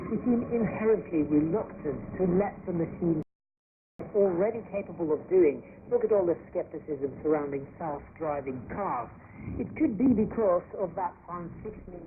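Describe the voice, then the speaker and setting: A man's voice: fairly high pitch, moderate pace. A man working as a radio reporter, presenting a feature report. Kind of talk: scripted radio report narration. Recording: tinny, hissy shortwave radio broadcast.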